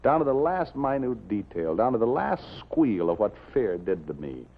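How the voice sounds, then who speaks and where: An actor playing an intense, haunted newspaper columnist with an American accent, urgent and emphatic, in a 1950s television drama. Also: male voice, fairly low pitch, big pitch swings, moderate pace, vocal fry, exaggerated voice. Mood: shaken, resolute. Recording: dull, muffled 1950s television soundtrack.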